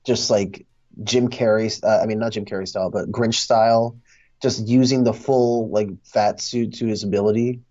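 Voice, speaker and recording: A man's voice: fairly low pitch, steady tone, normal speed, a little mellow. Man chatting casually, dull lo-fi sound. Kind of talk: casual movie discussion.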